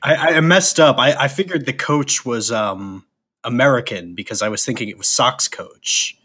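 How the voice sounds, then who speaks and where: A man's voice: mid-range voice, normal speed, bright, forceful tone, husky. A man co-hosting a podcast, laughing and loose in casual chat. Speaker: podcast co-host.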